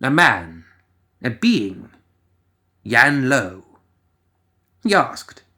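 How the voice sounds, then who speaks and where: A man reading a scripted story as narrator, in a theatrical, ominous manner with lingering pauses. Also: medium pitch, sing-song, pushed and bright.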